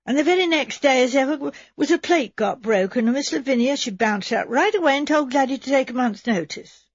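A woman's voice: high voice, animated, fairly quick, breathy edge, dry recording, highs cut off, pitched above the performer's own voice. A woman voicing an anxious young housemaid who rambles and pleads breathlessly.

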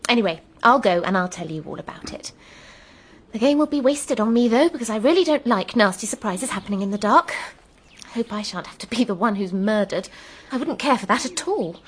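An actress playing an affectionate, artless, cheerful English vicar's wife with a chatty manner, in a radio drama. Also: female voice, fairly high pitch, expressive, fairly quick, slightly rough.

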